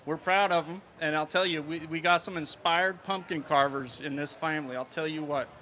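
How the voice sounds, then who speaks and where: A man's voice: fairly high pitch, fairly even intonation, normal speed, bright, forceful tone, slight background noise. A man from Minnesota at an outdoor street festival, playfully talking like a cowboy in a folksy way for a reporter's mic. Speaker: festival goer in a costume. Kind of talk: hammy street interview.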